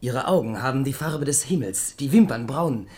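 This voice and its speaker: An actor playing a devoted duke of thirty, an earnest courtier in love, in a German radio drama. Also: male voice, medium pitch, expressive, normal speed, darkish tone, lightly acted. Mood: rapturous, eager.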